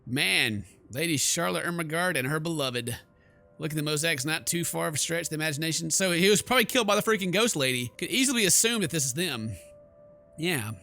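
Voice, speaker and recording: A man's voice: fairly high pitch, animated, fairly quick, vocal fry, pushed and bright, soft background music. A man hosting a gaming video, jokey and exclamatory, mixing casual commentary and muttered asides with reading text aloud.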